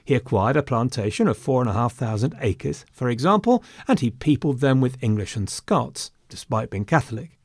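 A man's voice: medium pitch, animated, moderate pace, creaky, few pauses, dry recording. Dry-voiced man narrating history casually. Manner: conversational with wry asides.

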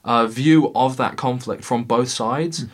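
A man hosting a relaxed film podcast. He talks on and on, leaning on fillers like "uh" and "kind of" as he builds his points. His voice sits at an ordinary middle pitch. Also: measured pace. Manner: talkative, frequent fillers and hedges. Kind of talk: casual film review chat.

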